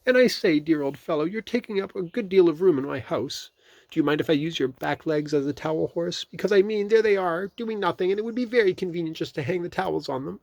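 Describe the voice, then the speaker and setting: A man voicing a fussy, chatty male rabbit from a storybook, talking in a high, prim, busy way. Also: expressive, fast, creaky, a little mellow, no echo.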